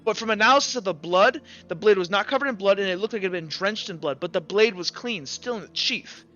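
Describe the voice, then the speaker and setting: A man playing a skeptical, methodical courtroom prosecutor who presses with halting, repeated questions in a tabletop roleplay. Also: high-pitched, pushed and bright, dull audio, faint music behind. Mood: probing.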